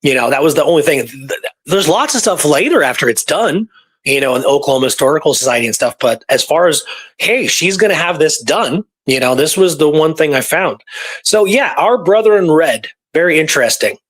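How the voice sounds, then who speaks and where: A man's voice: a little high, lively intonation, a little fast, fairly bright tone, breathy edge. A man talking casually as a history researcher, sharing findings in an informal storytelling manner. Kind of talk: casual conversational history discussion.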